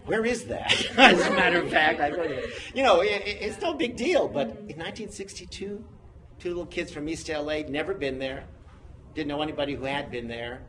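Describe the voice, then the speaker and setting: A man in his sixties from the United States, a guest chatting in an interview who tells stories in an animated, self-mocking way. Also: fairly high pitch, fairly quick. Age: 60s. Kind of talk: casual interview chat. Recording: noisy room sound.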